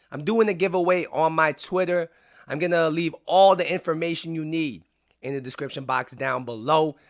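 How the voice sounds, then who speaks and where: A man talking through video-game commentary in a casual, chatty way, sounding raspy because he is under the weather. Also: on the high side, fairly bright tone. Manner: casual and chatty, with frequent "um" fillers. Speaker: YouTuber. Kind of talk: gaming commentary.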